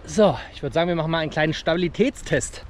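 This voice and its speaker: Higher-pitched man vlogging in a noisy outdoor setting. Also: fast talker. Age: 30s. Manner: colloquial, chummy address to viewers. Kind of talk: casual bushcraft how-to vlog, talking to camera. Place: outdoors in a forest. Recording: clear background noise, outdoor ambience.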